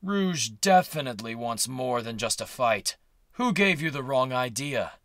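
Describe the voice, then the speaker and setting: Man voicing aloof cartoon hedgehog rival, sharp tense tone, sardonic, curt, mid pitch, audio drama. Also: animated, measured pace. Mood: dry, knowing. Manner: curt, dismissive. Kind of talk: fan audio drama acting.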